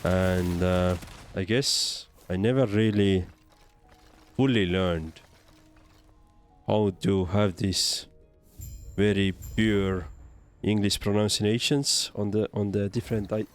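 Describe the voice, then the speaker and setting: A man's voice: lowish voice, steady tone, takes it slowly, faint music behind. A man from Estonia with an Estonian accent, casually commentating on a game and chatting as a livestreamer. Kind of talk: casual live gameplay commentary and chat.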